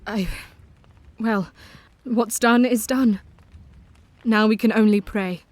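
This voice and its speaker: A woman's voice: a little high, fairly even intonation, slow, a little mellow, no echo. A woman playing an earnest young diarist. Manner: earnest, formal storytelling. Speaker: narrator. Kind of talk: audio drama narration.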